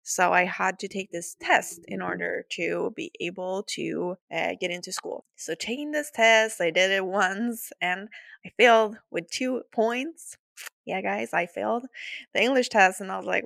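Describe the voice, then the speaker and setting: A woman's voice: mid-range voice, creaky, a bit bright, dry recording, studio quality. A woman in her thirties from Sweden with a Swedish accent, hosting her podcast in a chatty, upbeat way with frequent laughs and restarts. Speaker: podcast host. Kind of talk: casual solo podcast storytelling.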